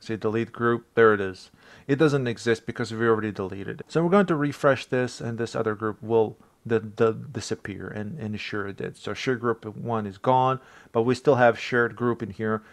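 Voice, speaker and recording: A man from Bosnia with a Bosnian accent, English his second language, casually talking through a software tutorial for his channel with rambling asides and self-deprecating chuckles. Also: lowish voice, moderate pace. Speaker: tech tutorial creator. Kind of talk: casual screen-recorded tutorial.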